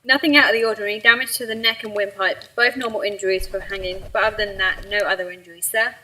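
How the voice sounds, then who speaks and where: Pathologist, female actor, clinical and unemotional, amateur radio drama, medium-pitched voice. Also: fairly even intonation, fast talker, sharp tone, natural voice, poor audio. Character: matter-of-fact female pathologist reporting post-mortem findings.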